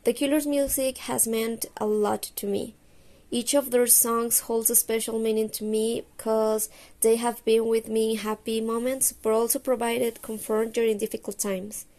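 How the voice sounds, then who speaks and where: A woman's voice: a little high, level tone, normal speed, mellow. A woman from Colombia giving a prepared testimonial as a fan in a voice message.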